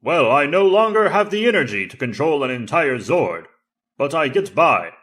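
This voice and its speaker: A man voicing an ancient enchanted tiger saber in an audio drama, formal, flattering and theatrical. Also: fairly high pitch, normal speed, pitched above the performer's own voice. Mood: proud, gracious. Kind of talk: audio drama character acting.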